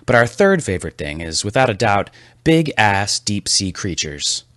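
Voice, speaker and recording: A man's voice: lowish voice, big pitch swings, moderate pace, a bit bright, dry recording, clean studio sound. A man hosting a scripted comedy podcast with a wry, mock-serious delivery. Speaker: podcast host.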